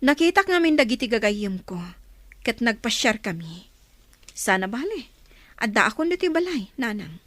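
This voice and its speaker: A woman's voice: on the high side, big pitch swings, normal speed, long pauses, no room sound. A Filipino woman playing a chief's young, dutiful but secretly daring daughter in a radio drama, speaking respectfully. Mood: apologetic, dutiful.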